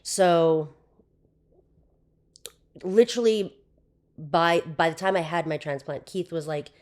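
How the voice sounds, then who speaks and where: A young woman with a hoarse voice, chatting casually as a podcast guest, with lots of fillers. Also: deep voice, slow pace. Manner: chatty, with frequent fillers and laughs. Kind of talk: casual interview chat.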